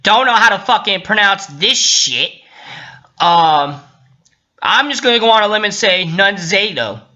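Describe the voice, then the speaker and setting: Sharp-voiced, profane man ranting. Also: high-pitched, animated, unhurried. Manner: profane, indignant, sarcastic. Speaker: vlogger. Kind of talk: casual rant-like commentary while reading a report. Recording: dull lo-fi sound.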